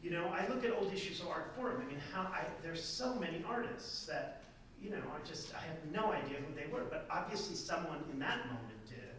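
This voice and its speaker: A man's voice: fairly high pitch, expressive, a little fast, fairly bright tone, slight background noise. A male art history professor talking casually, rambling and restarting his sentences as he answers an audience comment. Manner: rambling, self-interrupting, chatty. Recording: echoey hall, speaker far from the mic.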